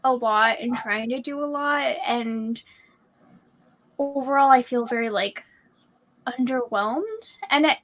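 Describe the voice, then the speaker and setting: Slow-paced, higher-voiced woman chatting. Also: a bit bright. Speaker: book discussion panelist. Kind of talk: casual book discussion chat.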